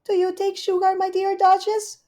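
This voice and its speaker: A woman voicing a prim, genteel female cat hostess in a storybook reading, high and polite. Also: flat delivery, normal speed, mellow.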